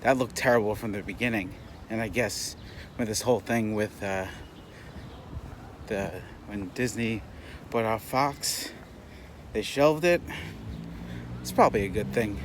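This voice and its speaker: A man's voice: fairly low pitch, unhurried, long pauses. A man giving a casual, rambling, bluntly opinionated spoken movie review, as a vlogger talking while out and about. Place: outdoors on city streets, walking. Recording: clear outdoor street and traffic noise.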